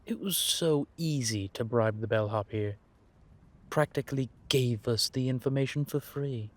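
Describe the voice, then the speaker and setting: A man playing a world-weary, wry and menacing vampire intelligence operative in an audio roleplay, confiding in a measured, drawn-out way. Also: mid-range voice, natural voice. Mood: wry, amused. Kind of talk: roleplay audio drama monologue addressed to a listener.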